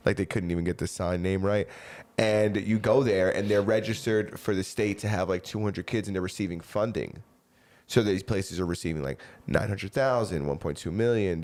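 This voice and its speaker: A man's voice: sits low, darkish tone. A man co-hosting a podcast, chatting casually with loose, profane, rambling talk full of fillers. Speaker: podcast co-host.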